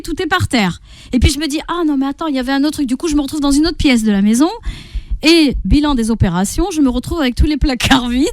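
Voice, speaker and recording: A woman with a fairly high voice speaks as a coach on a radio programme. She explains in a didactic way, checking in with short questions and voicing everyday thoughts as examples. The sound is dry and close, as in a studio. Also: a little mellow, no echo. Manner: didactic, often checks in with rhetorical questions and acts out inner speech. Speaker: guest parenting coach and therapist.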